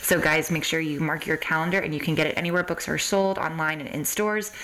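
A woman's voice: sits low, steady tone, fairly quick, fairly bright tone, poor audio. A woman hosting a podcast, speaking in a casual, conversational interviewing style. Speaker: podcast host.

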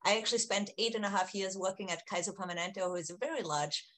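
A woman's voice: mid-range voice, fast, a bit bright. A middle-aged woman from Austria with an Austrian German accent, a guest on a podcast interview who explains things in a friendly way. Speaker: research executive guest.

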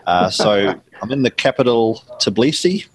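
A middle-aged man with a New Zealand accent, answering interview questions in a measured, explanatory way with frequent ums and restarts. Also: lowish voice, lively intonation, breathy edge, rough recording. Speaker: guest author.

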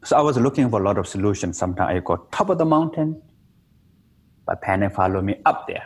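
A man with a Nepali accent speaks in a low, somewhat husky voice that rises and falls with lively swings. He talks in simple, broken English, playful and quick to laugh at himself, in a relaxed interview conversation. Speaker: meditation teacher.

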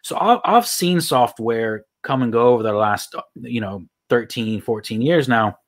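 A man in his thirties talks with an accent that mixes Texan, Irish and British sounds. He answers questions as a guest in a casual way, in a voice of middling pitch. He rambles, restarting his sentences often. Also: expressive, moderate pace. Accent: mixed Texan, Irish and British. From United States. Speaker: online marketing guest. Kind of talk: casual interview talk.

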